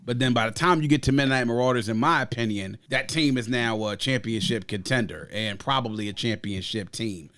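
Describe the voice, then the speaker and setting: Male podcast host, mid-pitched, casual review chat, rambling sports comparisons. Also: fairly quick, hardly any pauses, a bit bright. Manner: long rambling sports analogies; leads the discussion, asks others for picks.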